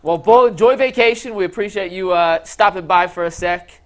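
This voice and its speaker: A man's voice: high voice, moderate pace. A man co-hosting a sports talk show with casual, teasing banter.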